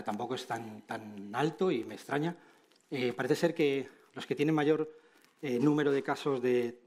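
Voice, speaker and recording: A man's voice: mid-range voice, darkish tone. A middle-aged man with a Castilian Madrid accent giving an informal conference talk, with wry asides and frequent tag questions. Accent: Castilian Spanish from Madrid. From Spain. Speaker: conference speaker, clinician. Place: large auditorium stage, indoors.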